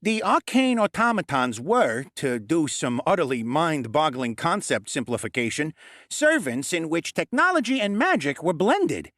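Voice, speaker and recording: A man's voice: a little high, very animated, moderate pace, a bit bright, no room sound, clean studio sound, pitched above the performer's own voice. Man doing a know-it-all goblin. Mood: lecturing. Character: impatient clever male goblin, lecturing.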